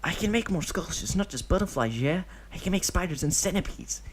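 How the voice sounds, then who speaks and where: A man voicing an eager, clingy twelve-year-old boy who begs in a high, pleading voice. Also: expressive, a little fast, slight background noise. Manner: high pleading childish voice, tacks on "hmm?".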